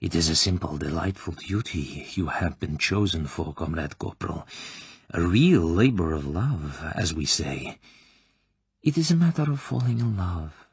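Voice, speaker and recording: A cruel, falsely motherly Soviet colonel, put on by a man reading an audiobook, coaxing in an oily, smooth way. The voice is deep and gravelly with a husky, breathy edge, sometimes sinking to a whisper. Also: no room sound, full character voice. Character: cruel middle-aged female Soviet colonel interrogator.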